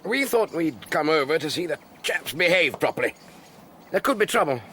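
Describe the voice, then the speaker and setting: A noisy radio drama recording of a blustering British army Major. He is pompous and stern, clipped and harrumphing, with a very lively rise and fall. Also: a little high, moderate pace. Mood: pompous, uneasy. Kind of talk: BBC radio drama dialogue.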